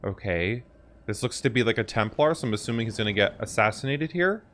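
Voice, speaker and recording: A man's voice: lowish voice, lively intonation, a little fast, fairly bright tone, slight background noise. A man hosting a gaming reaction video, talking casually in an enthusiastic, emphatic way. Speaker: host of a gaming reaction channel.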